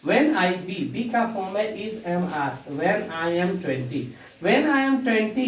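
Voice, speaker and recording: Echoey, distant male grammar teacher. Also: high-pitched. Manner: slow and deliberate, dictating sentences as he writes, repeating key phrases; addresses the class as dear students. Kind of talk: bilingual grammar lesson. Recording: echoey room, distant mic, faint hiss.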